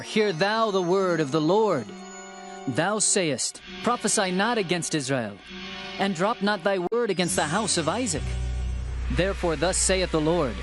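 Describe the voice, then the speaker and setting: An actor playing an earnest, bold herdsman prophet, declaiming in a dramatized scripture narration. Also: high-pitched. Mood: bold, defiant. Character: earnest male prophet Amos, herdsman turned bold messenger.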